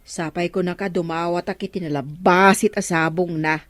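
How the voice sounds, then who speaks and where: An actress playing a gossipy, sympathetic village neighbour in an Ilocano radio comedy drama, lamenting with an Ilocano Filipino accent. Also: female voice, lowish voice, steady tone, normal speed, no echo, lightly acted. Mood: regretful.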